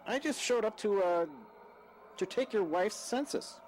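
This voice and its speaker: A man's voice: high voice, animated, unhurried, darkish tone, rough recording. A man playing a nervous, sheepish lover caught out, hesitant and evasive, in an audio drama. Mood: defensive, nervous. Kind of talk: radio-play style dramatic acting. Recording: faint background noise.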